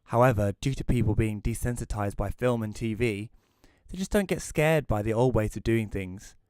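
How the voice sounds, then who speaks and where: A man in his thirties playing a glum, self-deprecating widower who narrates an audio drama in a dry, deadpan way. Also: on the low side, normal speed, clean tone, dry recording, close to an everyday voice. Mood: dry, resigned. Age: 30s. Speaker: narrator and lead character. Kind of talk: first-person scripted narration in audio drama.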